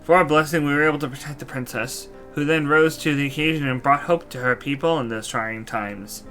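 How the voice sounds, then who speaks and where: A man's voice: a little high, a little fast, fairly bright tone, natural voice. Guy doing an old robot knight from a fantasy game, solemn and formal, olde-timey wording, music under it. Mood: solemn, proud. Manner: formal, archaic, solemn storytelling. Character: courtly old knight reborn in a mechanical body. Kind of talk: fantasy game character dialogue. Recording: music behind the voice.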